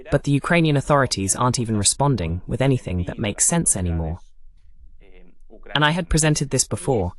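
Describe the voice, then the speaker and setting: Lively male political commentator. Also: medium pitch. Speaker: host of political analysis broadcast. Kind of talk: political commentary, English voice-over of a live talk.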